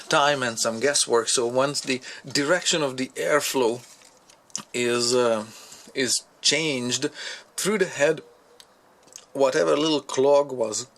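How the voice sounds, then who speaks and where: A man talks through a product in a casual, hands-on review at a workbench. His delivery is halting, as if working out each thought aloud, at a medium pitch. There is some noise behind him. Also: a bit bright. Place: indoor workshop at a workbench. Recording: clear background noise, handling clicks.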